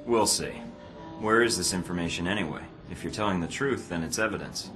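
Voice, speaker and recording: Male actor for a cool, sardonic game spy: very low voice, controlled and terse, over prominent music. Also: brisk, close to an everyday voice. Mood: suspicious, dry. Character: cool, guarded, dry-witted male spy operative. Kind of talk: video game dramatic dialogue. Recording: music playing behind the voice.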